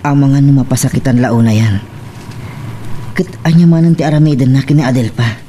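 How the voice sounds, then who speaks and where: A man's voice: mid-range voice, brisk, mellow, natural voice. A man with an Ilocano Filipino accent acting a brooding, lovesick man in a romance drama. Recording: faint background noise.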